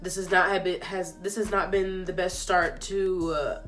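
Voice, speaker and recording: A woman's voice: fairly low pitch, moderate pace, soft background music. A young woman with a stuffy, congested nose, chatting casually through a hair tutorial with lots of ums and likes. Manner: chatty, filler-heavy, relaxed. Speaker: beauty vlogger.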